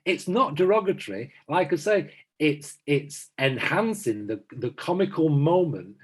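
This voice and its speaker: A man's voice: fairly high pitch, lively intonation, unhurried, husky. A man in his fifties from south Manchester who talks emphatically as a podcast co-host, repeating himself to stress his points. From south Manchester, England. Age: 50s. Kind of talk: casual chat.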